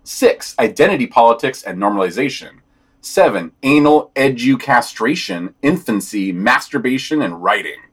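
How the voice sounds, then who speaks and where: Husky man with lively, swinging intonation. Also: medium pitch, normal speed. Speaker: podcast reader. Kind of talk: scripted podcast announcements.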